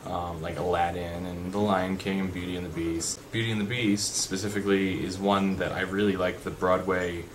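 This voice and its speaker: Young guy with a really low deep voice just rambling to camera, lots of ums, steady noise behind. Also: normal speed, few pauses. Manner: rambling, many fillers and restarts. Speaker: vlogger. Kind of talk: unscripted rambling chat. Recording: background noise.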